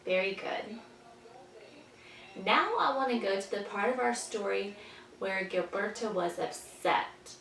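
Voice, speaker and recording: A woman's voice: medium pitch, a bit bright. Woman teacher in an echoey room. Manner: simple, patient explaining with pauses for answers. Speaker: early childhood teacher reading a storybook to young children. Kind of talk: lesson recap, asking young children questions. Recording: echoey room, distant mic, faint noise.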